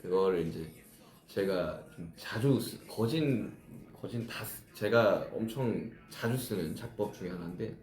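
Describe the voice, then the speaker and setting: A man's voice: deep voice, measured pace, darkish tone, roomy sound, faint music behind. A man in his thirties, a musician chatting casually with fans on a live stream, reflectively explaining how he writes his songs. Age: 30s.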